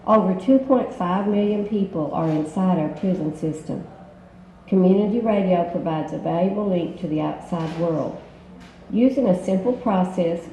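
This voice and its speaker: A woman reading scripted promotional narration for an explainer video in a measured, explanatory way. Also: on the low side, mellow. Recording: roomy playback with background noise.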